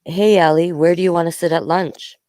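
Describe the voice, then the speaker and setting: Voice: medium pitch, steady tone, a little fast, warm tone, no echo, clean studio sound, voice pushed up, natural voice. A storyteller voicing an easygoing, joking schoolgirl who talks casually to her friend. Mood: friendly teasing.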